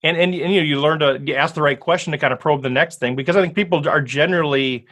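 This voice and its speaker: An upbeat, chatty man hosting a casual podcast interview, his voice sitting a bit high for a man. He laughs easily and keeps the talk loose and friendly. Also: fast, fairly bright tone. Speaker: podcast host.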